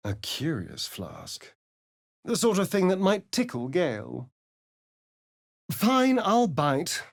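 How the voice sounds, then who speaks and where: A vain vampire spawn for a video game, drawling slowly and purring his lines. His pitch swoops about lively from low murmurs to sudden high mocking lifts, and everything comes out arch and sly. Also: gravelly, exaggerated voice. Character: vain, sardonic male vampire spawn, ageless young. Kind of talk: game voice acting.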